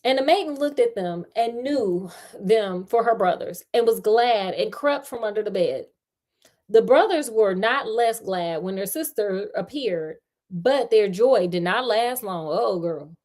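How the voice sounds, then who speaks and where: A woman's voice: mid-range voice, expressive, unhurried, a little mellow. An American woman reading a fairy tale aloud, breaking off into sassy, chatty, colloquial joking commentary. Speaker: storyteller and host.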